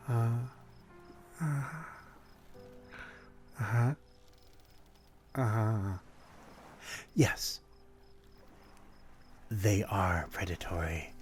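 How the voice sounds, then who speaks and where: A man acts out a brooding vampire stranger for an ASMR roleplay. His voice is fairly low and he takes his words very slowly, with old-fashioned courtesy and a quiet predatory edge. Faint music plays behind him. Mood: gentle, coaxing. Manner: old-fashioned, polite, brooding, addressing one listener intimately. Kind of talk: ASMR roleplay, dramatic monologue.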